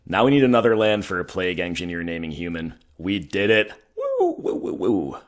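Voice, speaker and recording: Hoarse man with a low voice, wry gameplay commentary, talks through his decisions. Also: huge pitch swings, a little fast. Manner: thinking aloud, wry, self-mocking. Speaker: gaming channel host.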